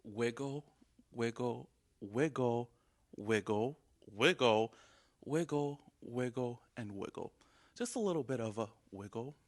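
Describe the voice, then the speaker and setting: Male dramatic reader, average pitch, very slow mock-serious delivery. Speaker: news reporter reading lyrics. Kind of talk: dramatic spoken reading.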